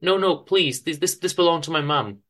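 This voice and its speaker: A man's voice: on the high side, moderate pace, fairly bright tone, voice pushed up, close to an everyday voice. A man voicing a frightened, flustered, kindly woman who stammers and pleads, in a story reading. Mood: frightened, pleading.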